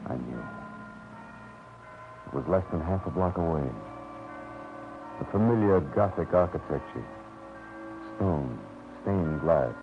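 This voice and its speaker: Deep-voiced hard-boiled private eye. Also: male voice. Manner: terse, clipped first-person narration. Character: hard-boiled male private detective, dry and steady. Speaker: narrator and lead. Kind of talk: 1950s radio drama acting. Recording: tinny, phone-like old radio recording with music.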